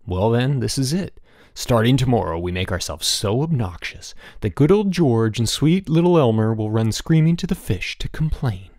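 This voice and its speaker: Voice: medium pitch, lively intonation, normal speed, darkish tone, no room sound, studio quality. A narrator voicing a mischievous schoolboy troublemaker with a bold, theatrical, mock-solemn delivery in a storybook. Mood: scheming.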